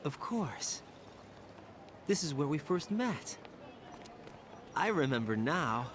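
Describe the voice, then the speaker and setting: A man's voice: a little high, big pitch swings, slow, a little hiss or hum. An actor playing a searching, tender young male soldier in dramatic video game cutscene dialogue. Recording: loud music over the dialogue.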